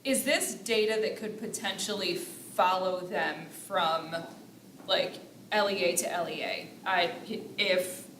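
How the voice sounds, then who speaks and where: A woman's voice: on the low side, slow pace, a bit bright, echoey room, distant mic, slight background noise, rough recording. A woman on a school board asking a careful question, hedging as she works out what she means. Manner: searching, hedging with "like" and "sort of". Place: board meeting room.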